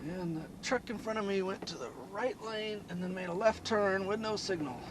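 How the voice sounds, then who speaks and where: Male DIY vlogger, slightly high-pitched, monotone and low-key; recorded in a moving car with clear road noise. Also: normal speed. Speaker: DIY car-repair channel host. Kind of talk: casual vlog talk while driving.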